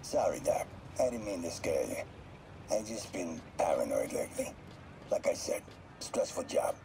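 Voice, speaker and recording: A very deep, dark-voiced mob enforcer. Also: male voice, sing-song, normal speed, vocal fry, in a whisper, exaggerated voice, low-quality recording. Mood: casually matter-of-fact. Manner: matter-of-fact, guarded. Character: cold male mob enforcer confiding in his therapist.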